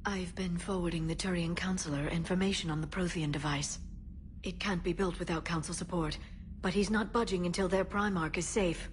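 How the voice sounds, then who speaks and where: An actress playing a gentle, caring alien woman scientist in a cinematic video game, soft, earnest and composed. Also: lowish voice, fairly even intonation, brisk, slight background noise, close to an everyday voice. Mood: composed concern.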